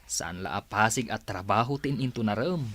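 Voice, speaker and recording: An actor playing a caring older brother who gently advises his younger sister in an Ilocano radio drama. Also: on the low side, normal speed. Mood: concerned.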